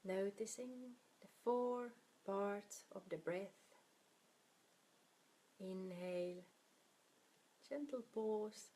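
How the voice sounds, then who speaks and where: A woman leading a guided meditation in a soft, soothing, unhurried manner, her breathy voice often trailing off toward a whisper. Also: mid-range voice.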